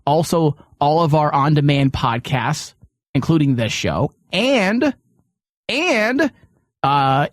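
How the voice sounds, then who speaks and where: A man's voice: mid-range voice, no room sound. A man hosting a studio podcast in a casual, chatty style with teasing banter. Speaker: podcast host.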